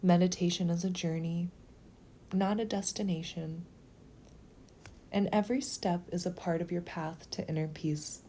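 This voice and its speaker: A woman leading a guided meditation in a slow, gentle, soothing manner, with phrases sometimes softening toward a whisper. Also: fairly low pitch, steady tone, slight background noise.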